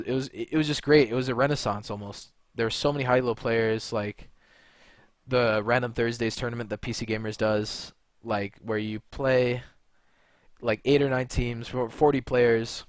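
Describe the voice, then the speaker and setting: A man's voice: mid-range voice, dry recording, studio quality. A young man from California talking in a rambling, blunt monologue, full of fillers and restarts. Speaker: video creator and gamer. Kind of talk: unscripted monologue to camera.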